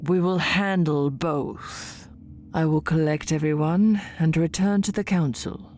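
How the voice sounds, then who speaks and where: A woman playing a stern vampire leader in an audio drama, speaking with formal, measured authority. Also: low voice, steady tone, a little mellow, a little hiss or hum. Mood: measured, firm.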